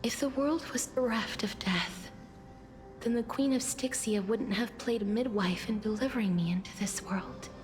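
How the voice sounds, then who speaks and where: An actress playing a solemn young maiden in a fantasy game, with a soft, breathy, half-whispered voice and formal, earnest delivery. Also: female voice, on the high side, normal speed, faint music behind, natural voice. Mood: tender, grateful. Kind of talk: scripted fantasy game dialogue.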